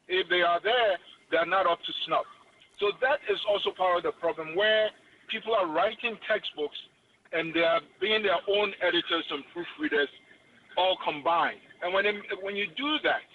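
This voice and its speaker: A Ghanaian man with a Ghanaian English accent, a guest expert speaking emphatically in a lecturing way during an interview. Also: high voice. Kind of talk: interview on education. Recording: muffled radio broadcast with faint noise.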